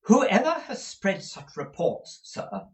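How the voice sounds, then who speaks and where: A man's voice: a little high, big pitch swings, a little slow, fairly bright tone, pitched above the performer's own voice. A man voicing a vain, fussy middle-aged mother who speaks in a pompous, easily offended and self-pitying way.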